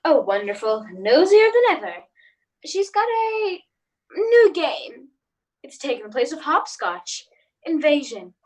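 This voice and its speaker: A polite, indulgent housewife and mother acted by a woman in a radio play. Her voice is high and swoops expressively. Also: very animated, measured pace. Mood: warm, polite.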